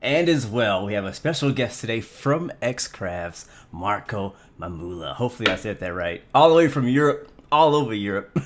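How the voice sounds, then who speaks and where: A man's voice: on the low side, very animated, normal speed, hardly any pauses, background noise. A man hosting a casual podcast, laid-back and slangy, laughing often. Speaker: host.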